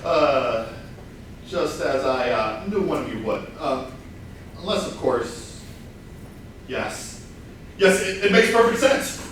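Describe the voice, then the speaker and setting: A man's voice: mid-range voice, lively intonation, slow pace, pauses often, fairly bright tone. A man playing an aggrieved, scheming party crasher in a comic whodunit, hesitant yet indignant, at a staged reading. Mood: hesitant, realizing. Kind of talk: staged comedy play reading. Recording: echoey hall, far mic, faint noise.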